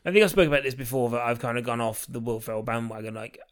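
A man with a middle-pitched voice co-hosts a casual podcast. He talks in a wry, rambling way, circling his point with plenty of fillers. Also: very fast. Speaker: podcast co-host.

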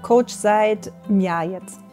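A woman's voice: mid-range voice, slow pace, mellow, faint music behind. A woman of forty, a nutrition coach talking about her work in a friendly, matter-of-fact way. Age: 40s. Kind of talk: recruiting video interview.

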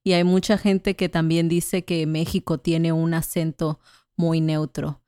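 Slow-speaking Mexican woman podcaster. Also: deep voice, level tone, clear, resonant tone, no echo, clean studio sound. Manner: measured, often affirms with soft murmurs. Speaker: podcast co-host. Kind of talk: slow, clear conversational chat for learners.

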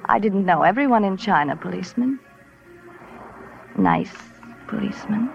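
A sultry noir professor with creak in her voice. She is languid, teasing and insinuating, in the style of 1940s radio drama. The sound is muffled, with faint music. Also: mid-range voice, lively intonation, normal speed. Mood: sly, languid. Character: sultry, teasing femme fatale woman professor.

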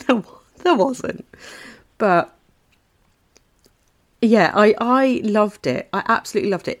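A woman in her thirties with a slightly low voice talks through her own life on a solo podcast. Her delivery is rambling and candid, full of asides and casual swearing, and she laughs at her own anecdotes. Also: darkish tone. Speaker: podcast host.

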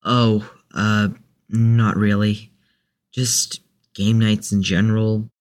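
A man's voice: lowish voice, little pitch movement, slow pace. A man playing a mild, easygoing and slightly hesitant character in an audio drama. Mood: nostalgic. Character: easygoing, nostalgic man, mild peacemaker of the group.

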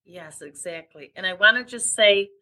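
A woman's voice: mid-range voice, moderate pace, sharp tone. A woman co-hosting a podcast in casual chat, stuffy with sniffles and talking in a rambling way with restarts. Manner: rambling, chatty, frequent restarts. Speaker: podcast co-host.

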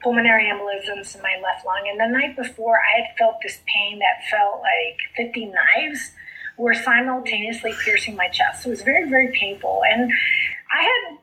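A woman's voice: on the high side, sharp tone, poor audio. A middle-aged woman from South Texas who grew up speaking Spanish, telling her story in an earnest, heartfelt way as a podcast guest. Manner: earnest, heartfelt storytelling with slow emphatic phrases. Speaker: guest, author and coach. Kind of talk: podcast interview.

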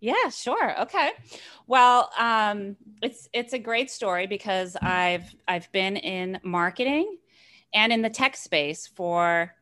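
An energetic woman in her forties, chatting as a podcast guest. She gets loud and animated when she is excited, and she tells her stories with a lot of eager enthusiasm. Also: medium pitch, slow pace. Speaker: podcast guest, marketing executive. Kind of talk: casual interview chat.

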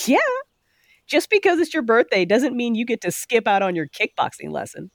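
A woman's voice: on the high side, big pitch swings, normal speed, gravelly, no echo, clean studio sound. A woman playing a teasing, confident and bossy superhero fighter in an audio drama. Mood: bossy, playful. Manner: teasing, assertive.

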